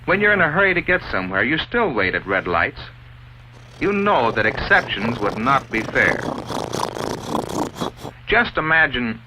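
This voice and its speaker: A man playing an earnest school authority who explains rules in a deliberate, didactic way, like a 1950s instructional film. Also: medium pitch, very animated, pushed and bright, exaggerated voice. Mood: earnest lecturing. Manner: didactic, deliberate, asks leading rhetorical questions. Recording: dull, noisy old 1950s film soundtrack.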